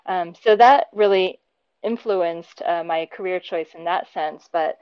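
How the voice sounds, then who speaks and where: A woman in her thirties, raised between the US and Japan, answering interview questions in a thoughtful, casual way, often pausing with "um" and laughing lightly. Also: lowish voice, clear, resonant tone, no room sound, muffled recording. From born in Japan, raised between the US and Japan. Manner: warm, thoughtful, frequent ums and light laughs. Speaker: interview guest, program teacher.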